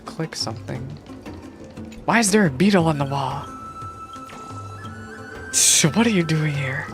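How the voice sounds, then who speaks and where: A woman's voice: deep voice, expressive, a little slow. A woman game streamer giving casual live reactions, with slangy, joking asides. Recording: game music clearly behind. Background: game music.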